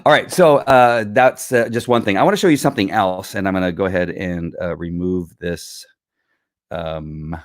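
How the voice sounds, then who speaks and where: Chatty middle-aged man, dry low voice. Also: gravelly, no room sound. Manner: chatty, rambling, many fillers and restarts. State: recovering from losing his voice. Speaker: host of a live video show. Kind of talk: casual screen-share tutorial. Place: small home studio with whiteboard. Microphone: studio mic on boom arm.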